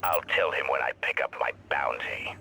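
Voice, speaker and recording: An actor playing a terse, menacing bounty hunter in a video game, with a deep, gravelly voice. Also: male voice, moderate pace, sharp tone, heavily acted, rough recording. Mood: cool menace. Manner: terse, threatening.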